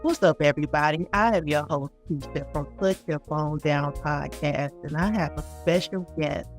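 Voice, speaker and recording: A woman's voice: deep voice, normal speed. Woman podcast host over background music. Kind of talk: podcast introduction and interview.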